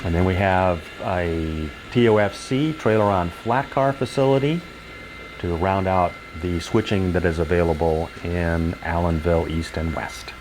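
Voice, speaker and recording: A man's voice: low-pitched, measured pace, background noise. An older man giving an informal, explanatory tour of a model railroad layout as its hobbyist guide.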